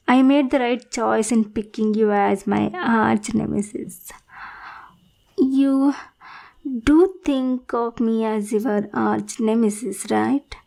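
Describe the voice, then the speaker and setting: A woman's voice: fairly high pitch, gravelly, dark tone. A woman playing a lovesick, needy supervillain in a roleplay monologue, theatrical and pleading, self-pitying and possessive, sometimes dropping into whispers. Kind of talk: scripted roleplay monologue addressed to the listener.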